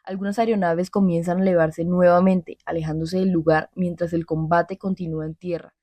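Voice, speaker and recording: A woman playing a grave war correspondent in a scripted radio drama, reporting solemnly and in measured phrases. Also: lowish voice, little pitch movement, dark tone, dry recording, lightly acted. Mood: urgent. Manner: solemn, measured, descriptive delivery.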